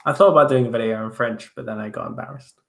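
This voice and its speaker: A man's voice: medium pitch, fast, vocal fry. A young man chatting casually as he answers viewer questions on a livestream, self-deprecating, with many fillers and restarts. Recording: roomy echo.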